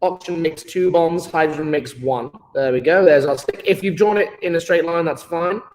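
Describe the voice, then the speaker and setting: A man's voice: on the high side, normal speed, darkish tone, roomy sound, low-quality recording. A British man teaching a live online chemistry lesson, playful and enthusiastic, joking with his pupils.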